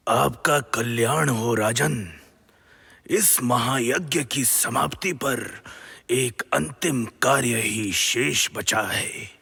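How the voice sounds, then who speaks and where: A man playing a stern, venerable sage priest in a mythological audio drama, speaking solemnly and formally in a gravelly, husky voice. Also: medium pitch, pushed and bright, exaggerated voice. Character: venerable, stern sage priest presiding over royal ritual.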